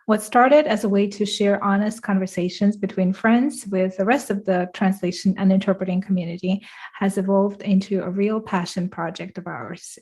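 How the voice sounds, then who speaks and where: A woman's voice: mid-range voice, a little mellow. A middle-aged woman who grew up in Russia and speaks Russian as her first language, co-hosting a podcast and telling stories with frequent laughter. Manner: upbeat, laughs often while telling stories. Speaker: podcast co-host. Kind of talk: live podcast chat, storytelling.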